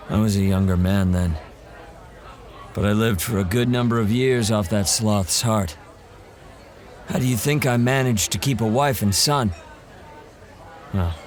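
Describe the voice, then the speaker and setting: An actor playing a wry, seasoned monster hunter who talks calmly and drily. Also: male voice, on the low side, natural voice. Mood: recounting. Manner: calm, dry and unhurried, lightly mocking. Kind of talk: audio drama acting.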